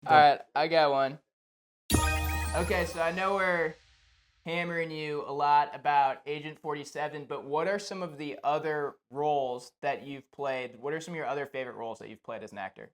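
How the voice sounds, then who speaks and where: A man's voice: fairly high pitch, moderate pace, faint music behind. A man chatting casually as a podcast co-host, in a slangy, informal way. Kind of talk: casual chat.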